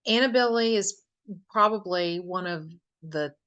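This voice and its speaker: A woman co-hosting a poetry show, talking casually and reflectively in a musing, halting, agreeable way. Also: fairly low pitch, a bit bright, muffled recording. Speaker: co-host of a live poetry event.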